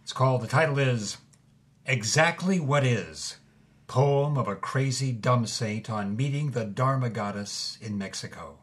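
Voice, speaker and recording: Slow, deliberate actor as male narrator. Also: mid-range voice, close to an everyday voice. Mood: measured. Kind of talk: measured spoken introduction to a poem.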